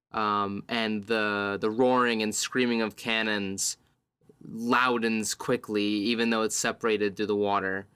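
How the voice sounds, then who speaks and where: A man playing a tabletop roleplaying game, describing scenes as he improvises them, piecing his sentences together in halting fragments. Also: lowish voice, fairly bright tone, clean tone, no echo.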